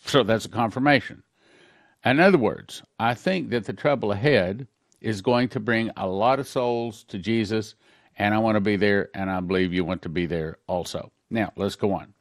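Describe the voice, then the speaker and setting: Older man preaching, low dry voice. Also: no room sound. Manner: earnest storytelling, reads letters aloud quickly. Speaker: pastor. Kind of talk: sermon recounting dreams.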